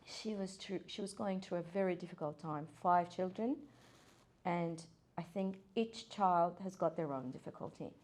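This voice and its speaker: A woman's voice: on the low side, moderate pace, darkish tone. Earnest woman. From Iran. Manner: earnest, hesitant, many fillers and restarts. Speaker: guest, occupational therapist. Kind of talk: casual podcast interview.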